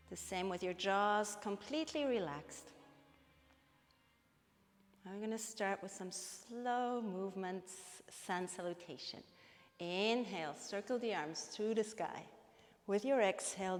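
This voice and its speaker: A woman from Switzerland with a Swiss accent, a native Bernese Swiss German speaker, leads a live yoga class with calm, step-by-step cues and breath prompts. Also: medium pitch. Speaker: yoga instructor. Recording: long reverberant hall-like echo.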